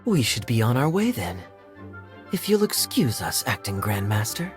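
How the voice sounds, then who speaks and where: A man playing a suave, teasing young knight in a video game, smooth and nonchalant. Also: medium pitch, sing-song, normal speed, soft background music, close to an everyday voice. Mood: composed, suave. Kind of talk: video game character acting.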